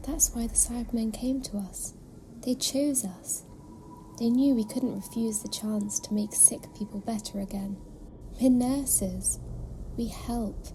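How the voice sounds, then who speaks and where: A woman's voice: fairly high pitch, steady tone, unhurried, mellow, slight background noise, natural voice. A woman in an audio drama playing a haunted, devout alien nurse who speaks solemnly and often slips into a whisper. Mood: serene, fanatical. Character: haunted alien nursing sister, eerie, devout, enthralled.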